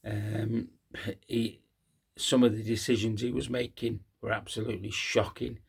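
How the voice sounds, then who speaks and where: A hoarse man, hosting a casual post-match fan talk, halting with many ums and uhs. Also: on the low side, flat delivery. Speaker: fan channel host.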